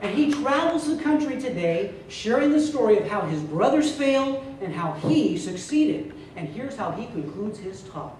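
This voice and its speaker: A man giving a humorous storytelling speech to a live club audience, theatrical, with drawn-out punchlines. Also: sits high, very animated. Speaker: contest speaker telling a humorous tale. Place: meeting room before an audience. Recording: echoey room from far off, loud noise.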